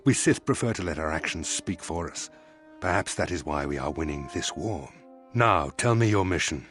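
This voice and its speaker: An actor playing a cold, sadistic admiral interrogator in a video game, speaking with formal, deliberate menace. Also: male voice, sits low, expressive, a bit bright, faint music behind. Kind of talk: dramatic video game villain acting.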